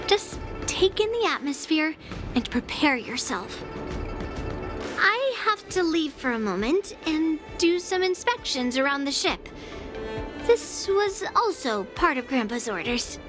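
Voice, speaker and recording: High-voiced, blunt young master. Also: female voice, very animated, heavily acted. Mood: composed, teasing. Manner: dry, unhurried, instructive. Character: cool, blunt young female master to an apprentice. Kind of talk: video game cutscene acting. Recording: music behind the voice.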